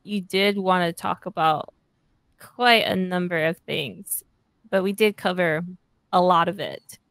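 A woman hosting a podcast at home, asking easygoing questions in a relaxed, casual way on a studio mic. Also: on the low side, no room sound. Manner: unhurried, asks easygoing questions. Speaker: podcast host. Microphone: studio mic on arm, headphones.

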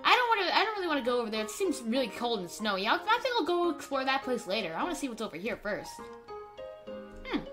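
Bright, high-voiced, animated woman gamer. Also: fast, soft background music. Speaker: gaming YouTuber. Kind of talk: casual roleplay let's play commentary.

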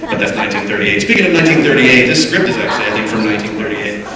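A man's voice: lowish voice, lively intonation, racing. A middle-aged American man hosting a panel in a casual, joking, slangy and rambling way. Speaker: panel host. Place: hotel conference room before an audience. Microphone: handheld PA mic, captured by distant camera. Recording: very echoey, distant, busy crowd behind. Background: audience crowd.

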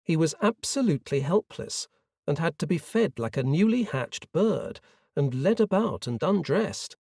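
A man's voice: a little high, sing-song, moderate pace, vocal fry, a little mellow, slightly rough, no room sound, studio quality. A man with an English accent giving a scripted reading of a literary story. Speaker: audiobook narrator.

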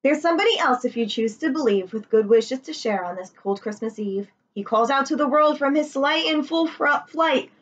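A woman's voice: high-pitched, expressive, moderate pace, hardly any pauses. A young woman reading a children's picture book aloud, expressively, putting questions to her young listeners. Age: young adult. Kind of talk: children's read-aloud with asides. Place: living room, indoors. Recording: slightly dull webcam sound.

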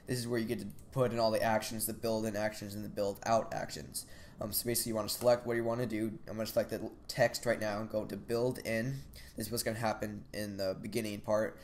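Hesitant young man, low flat voice. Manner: casual, hesitant, frequent ums and restarts. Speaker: tech channel host. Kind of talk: screen-recorded software tutorial.

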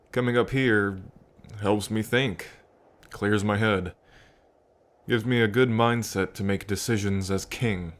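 A dragon king, acted by a man speaks intimately to the listener in an ASMR roleplay. His voice sits fairly low and he takes his time, very slow and musing, with pauses between thoughts. He comes across as fond, reflective and proud of his ancestors. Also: steady tone. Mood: musing, casual. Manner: unhurried, musing, pausing, softly chuckling between thoughts.

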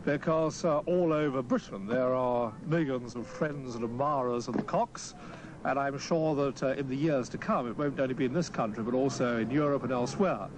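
A man's voice: mid-range voice, moderate pace, noisy background. A man giving a formal thank-you style speech.

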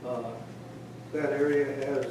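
Halting man in an echoey chamber. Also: mid-range voice, steady tone, noisy background. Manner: halting, many fillers and self-corrections. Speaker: applicant. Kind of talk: public comment at county meeting. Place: large county commission chamber. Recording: echoey chamber, distant mic, clear ambience.

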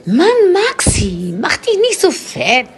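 A woman plays a bossy old witch in a comic audio drama. She scolds and snaps impatiently, with a very lively pitch that rises as she nags. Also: slow, exaggerated voice.

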